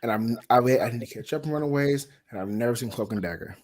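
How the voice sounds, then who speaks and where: A man's voice: mid-range voice, quick pace, a crowd in the background. A man co-hosting a casual chat, chiming in briefly in a wry way. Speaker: co-host.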